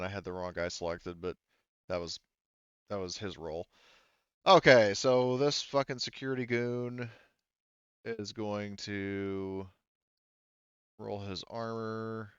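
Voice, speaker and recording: A man's voice: lowish voice, smooth, no room sound, dull audio. A man acting as game master, casually explaining rules and narrating a tabletop game step by step.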